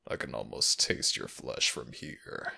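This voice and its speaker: A man voicing a hungry, mocking male kitsune villain in a fantasy ASMR roleplay, with a gravelly voice and savoring, formal, sinister phrasing. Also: unhurried, pushed and bright. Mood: predatory, mocking. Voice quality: very deep, gravelly.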